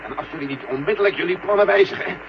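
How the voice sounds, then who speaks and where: An actor playing a gruff, exasperated general at mission control, blunt and commanding, in a 1970 Dutch radio drama. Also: male voice, high-pitched, racing, noisy background. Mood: threatening. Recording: muffled, humming 1970 radio recording.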